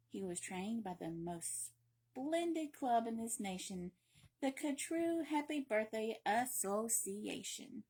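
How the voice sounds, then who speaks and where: A woman reading a children's picture book aloud in an animated, playful, emphatic way, with brief asides. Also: fairly high pitch, husky. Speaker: library read-aloud reader.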